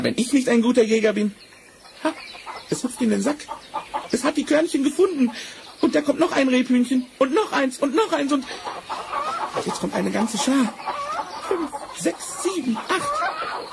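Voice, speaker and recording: An actor voicing a boastful, cheerful talking tomcat in a theatrical fairy-tale radio play from the seventies. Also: male voice, extremely high pitch, vocal fry, full character voice. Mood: gleeful. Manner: theatrical, self-satisfied, flattering. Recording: noisy old vinyl record rip.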